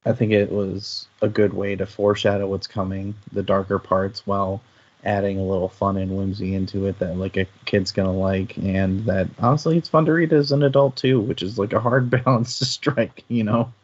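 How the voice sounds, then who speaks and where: A man's voice: lowish voice, steady tone, moderate pace, muffled recording. A man talking casually and thoughtfully as a panelist in a book discussion, analysing as he goes.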